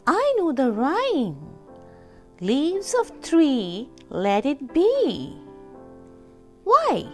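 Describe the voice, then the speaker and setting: A woman voicing an excitable, confident little girl who proudly and dramatically tells her own storybook tale. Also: sits high, full character voice. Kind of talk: storybook read-aloud.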